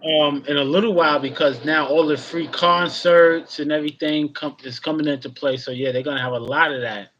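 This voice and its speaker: An easygoing man in his forties talks with a high, bright voice over a dull, lo-fi video call. He drifts through his thoughts and keeps adding "you know what I mean" to them. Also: fairly even intonation, fairly quick, few pauses, slight background noise. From Queens, New York. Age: 40s. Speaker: guest. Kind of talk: casual chat.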